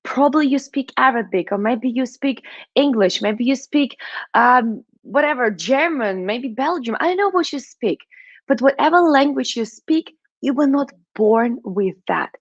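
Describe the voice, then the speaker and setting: A woman's voice: high voice, lively intonation, unhurried, dry recording, highs cut off. A woman with a Russian accent, a money mindset coach giving a motivational talk, putting questions to the listener and checking in with "right?" and "okay?".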